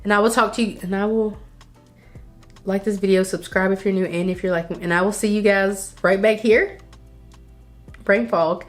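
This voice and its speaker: A woman's voice: mid-range voice, fairly even intonation, normal speed, pauses often, faint music behind. A woman in her thirties chatting casually to camera as a vlogger. She sounds stuffy and congested and rambles with sighs and coughs. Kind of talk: casual chat.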